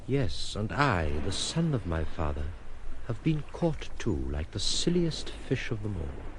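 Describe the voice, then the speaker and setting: An actor playing a solitary, disillusioned island exile, speaking in introspective, rueful monologue in an audio drama. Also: male voice, lowish voice, sing-song, measured pace, noisy background. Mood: bitter, melancholy.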